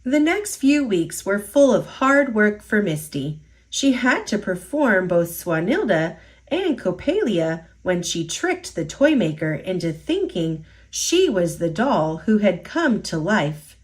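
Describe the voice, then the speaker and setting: A woman reading a children's picture book aloud, slowly and expressively, in a sing-song storytelling manner. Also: medium pitch, very animated. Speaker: storytime reader.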